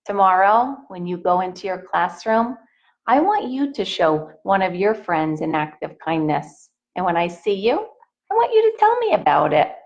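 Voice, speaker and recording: A woman reading a picture book aloud expressively to children in a teacherly way, pausing to put questions to them. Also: on the low side, sing-song, dull audio. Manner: asks young listeners questions.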